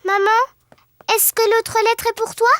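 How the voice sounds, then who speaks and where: A performer voicing a cheerful, eager little girl piglet, childlike and excitable, in a French-dubbed preschool cartoon. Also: extremely high pitch, measured pace, no echo. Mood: curious, excited. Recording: loud children's music behind.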